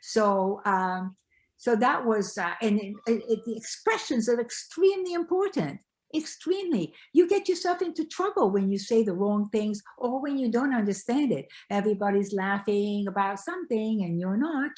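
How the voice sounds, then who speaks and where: An older woman from Belgium talks about her life as the author being interviewed. She speaks reflectively and haltingly, often restarting her sentences as she searches for words. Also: medium pitch, animated, a little mellow. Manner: halting, with many restarts and fillers. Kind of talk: reflective conversational storytelling.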